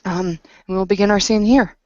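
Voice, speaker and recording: A woman narrating a tabletop roleplaying session in a hesitant, halting way. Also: medium pitch, a little mellow, no echo. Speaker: game master and player. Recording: dull lo-fi voice recording.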